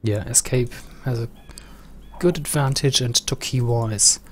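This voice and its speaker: A man with a voice going hoarse, giving understated live commentary on a video game match. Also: mid-range voice, a little slow, a bit bright, faint music behind. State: losing voice, slightly hoarse. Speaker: esports match caster.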